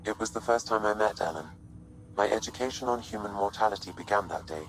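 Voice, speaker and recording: Deep, calm AI assistant voiced by a man. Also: quick pace, a little hiss or hum, natural voice, poor audio. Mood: calm, formal. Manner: even, formal, detached. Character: calm, curious male-voiced artificial intelligence assistant. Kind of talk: video game voice acting.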